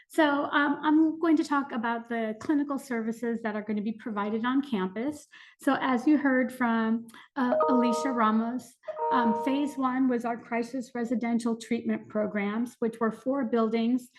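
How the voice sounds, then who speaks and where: A woman's voice: on the high side, steady tone, unhurried, poor audio. A woman clinician with a cold presenting mental health services on a video call, hesitant and full of fillers. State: has a cold.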